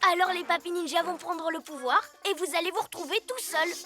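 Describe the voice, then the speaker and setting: Little boy superhero, kids' cartoon female actor; extremely high pitch, earnest, eager and good-hearted. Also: brisk, loud music behind the voice. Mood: earnest, firm. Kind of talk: dubbed children's cartoon acting.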